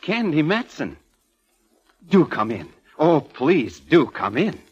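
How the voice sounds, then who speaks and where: Husky, wildly swooping eccentric old-radio composer. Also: male voice, fairly high pitch, big pitch swings, slow pace, heavily acted. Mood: excitable, fussy. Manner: flamboyant, effusive, chuckling, florid. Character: eccentric, theatrical ageing male composer, gleefully morbid. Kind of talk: 1940s radio drama acting. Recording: muffled vintage 1940s radio recording.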